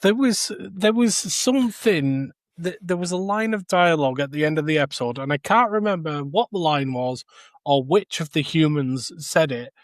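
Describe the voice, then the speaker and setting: A man co-hosting a podcast, giving casual review opinions in a measured, hesitant delivery. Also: on the high side, animated, dry recording, clean studio sound. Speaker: podcast co-host.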